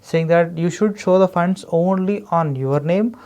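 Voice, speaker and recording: A man's voice: high voice, unhurried, a little mellow. A man with an Indian accent explaining things as a consultant in a podcast interview, emphatic and wordy. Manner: emphatic, wordy explaining, often repeats "actually". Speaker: education consultant guest.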